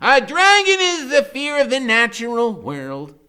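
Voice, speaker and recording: A man's voice: very high-pitched, huge pitch swings, measured pace, pushed and bright, smooth, voice pushed up, full character voice. A man voicing a bossy, bookish, theatrical boy genius in a children's novel, exclaiming with pompous impatience. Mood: grandly scholarly. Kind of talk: children's novel character reading.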